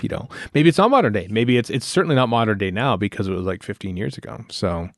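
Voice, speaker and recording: A low-voiced male podcast host gives casual episode commentary, his pitch swinging widely. He rambles through jokey banter, and the sound is dry and close. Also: animated, fast talker, no echo.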